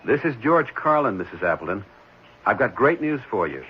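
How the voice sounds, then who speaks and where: An actor playing a gentle, earnest married foster father in a 1970s radio drama, speaking hesitantly and reassuringly. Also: fairly low pitch, very animated, moderate pace. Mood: excited. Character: gentle, caring married man fostering an orphan boy. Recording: muffled, noisy old radio broadcast.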